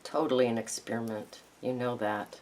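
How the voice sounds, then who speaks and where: Hoarse lady talking thru a craft project, deep froggy voice, lots of ums, bit of hiss behind. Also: little pitch movement, normal speed, darkish tone, rough recording. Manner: chatty, thinks aloud with ums. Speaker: craft video host. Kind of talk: casual crafting tutorial.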